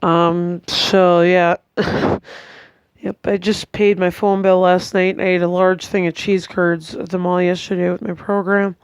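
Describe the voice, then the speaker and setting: A tired, congested young woman, giving a hesitant, chatty vlog update at home with many pauses and restarts. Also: lowish voice, little pitch movement, smooth, no room sound. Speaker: vlogger. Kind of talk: casual rambling update to camera.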